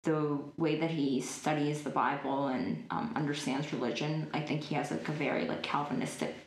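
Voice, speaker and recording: A woman in her twenties speaks at the low end of women's pitch, chatting casually and filling her sentences with "like" and "um". The room around her gives a noticeable echo, as in an interview recorded in an open space. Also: fairly even intonation, normal speed. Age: 20s. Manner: chatty, lots of likes and ums. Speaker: interview guest. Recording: roomy echo.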